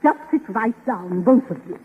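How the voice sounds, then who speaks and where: A very high, quite husky voice plays a fussy, meddling lady novelist, cooing and scolding gently. It is 1930s radio comedy, muffled and echoing. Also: lively intonation, normal speed.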